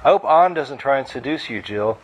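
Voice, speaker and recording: A man's voice: medium pitch, expressive, a little fast, lo-fi sound, a little hiss or hum, voice pushed up, lightly acted. A man voicing a chatty, wisecracking husband who gossips and jokes, with a forced folksy chuckle. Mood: gossipy. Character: joking, gossipy husband, nervous under the banter.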